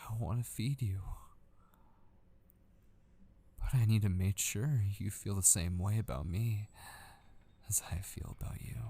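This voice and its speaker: A man acting an obsessive, possessive kidnapper in an intimate ASMR roleplay, patient and menacing, hushed and often slipping into a breathy whisper. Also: on the low side, slight background noise. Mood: coaxing, possessive.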